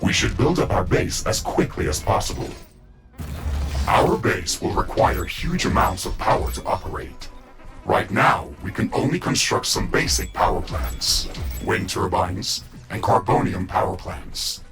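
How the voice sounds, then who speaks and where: An actor playing a stern, by-the-book AI mech suit in a video game, talking in a formal, measured, procedural manner. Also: male voice, deep voice, big pitch swings, creaky, music in the background, heavily acted. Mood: dutiful briefing. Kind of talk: scripted video game voice acting.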